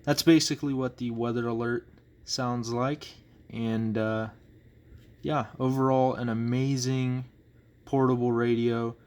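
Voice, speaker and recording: A man's voice: mid-range voice, level tone, unhurried, darkish tone. A man giving a casual show-and-tell review as a hobbyist, in a rambling, hesitant way, recorded on a phone. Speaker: hobbyist collector presenting items. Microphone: phone, handheld or propped nearby.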